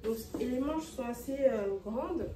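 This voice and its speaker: A woman's voice: fairly high pitch, expressive, unhurried. Chatty woman vlogger in a roomy bedroom. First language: French is her second language. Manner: chatty, many fillers and restarts, drops English phrases. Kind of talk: casual chat while tidying. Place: her bedroom, indoors. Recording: roomy and distant, with faint music.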